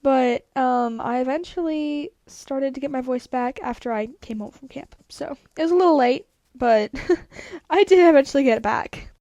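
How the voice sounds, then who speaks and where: Rambling teen girl with a high voice. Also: normal speed, dark tone, no room sound. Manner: rambling, many "like" fillers. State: congested from a cold. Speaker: vlogger. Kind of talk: casual storytelling.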